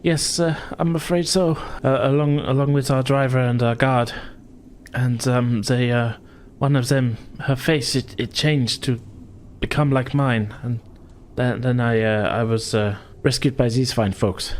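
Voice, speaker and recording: A man's voice: medium pitch, fairly even intonation, a little slow, a little hiss or hum, natural voice. A man voicing a polite, shaken female opera star with a hesitant, refined manner.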